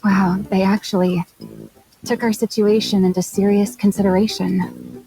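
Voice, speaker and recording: A woman's voice: medium pitch, level tone, normal speed, dark tone, natural voice, low-quality recording. A woman playing a blunt, exasperated young mechanic in a video game, speaking in a dry, scolding manner. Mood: grudging.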